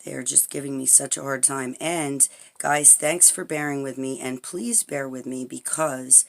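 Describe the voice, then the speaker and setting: Brief: raspy-voiced woman, home tutorial host, chatty and rambling, filmed over a tabletop. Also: very deep voice, fairly even intonation, moderate pace. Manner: chatty, rambling, frequent "okay?" check-ins. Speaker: herbal salve maker demonstrating a recipe. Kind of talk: casual how-to tutorial. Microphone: camera pointed at the table, speaker off-frame.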